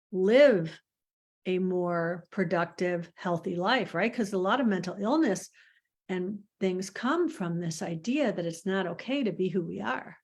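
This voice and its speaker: A woman hosting a podcast on a video call, speaking reflectively and trailing off softly. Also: mid-range voice. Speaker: host. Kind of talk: casual podcast conversation.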